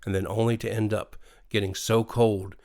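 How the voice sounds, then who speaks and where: A man with an American accent reading a scripted bedtime horror story in an expressive, dramatic way. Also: on the low side, normal speed. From USA. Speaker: storyteller, narrator. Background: faint music.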